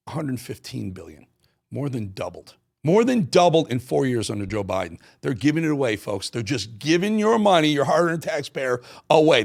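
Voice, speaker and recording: Animated, gravelly American man hosting political talk. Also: medium pitch. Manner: emphatic, repeats phrases for punch. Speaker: talk show host. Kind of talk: opinionated political commentary on viewer voicemails.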